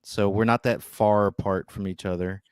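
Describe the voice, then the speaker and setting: A man's voice: lowish voice, fairly even intonation, normal speed, smooth, no echo, clean studio sound. A man in his late twenties chatting casually as a livestream guest, informal and slangy in his talk. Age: 20s. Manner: informal, slangy, often addressing the listener as dude. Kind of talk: casual chat.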